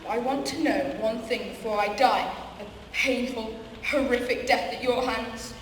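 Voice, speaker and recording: A woman's voice: on the high side, normal speed, lightly acted. A young woman playing an earnest, dramatic student wizard in a comic amateur radio play. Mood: melodramatic. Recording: very echoey hall from far off, faint noise.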